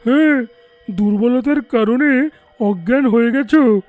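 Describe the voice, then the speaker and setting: A woman voicing an excitable village man in a ghost cartoon, loud and theatrically emphatic. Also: fairly high pitch, measured pace, no echo, faint music behind, lightly acted. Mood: mocking. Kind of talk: cartoon character acting.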